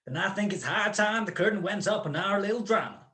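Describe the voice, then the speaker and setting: A man's voice: high-pitched, lively intonation, a little fast, sharp tone. A man acting a smug, cold-blooded criminal mastermind in a radio play, with precise, mocking, grandiloquent speech. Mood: commanding, pleased.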